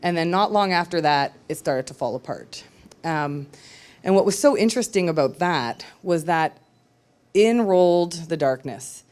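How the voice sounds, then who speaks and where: A woman telling a personal story at a live event, candid and reflective, often pausing with hesitations. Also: lowish voice, animated, darkish tone.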